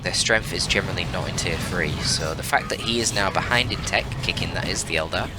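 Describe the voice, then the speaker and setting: A man's voice: sits low, brisk, over loud music. A man giving analytical, informal live game commentary in a casual, mildly sweary, exclamatory way. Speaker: esports caster, channel host.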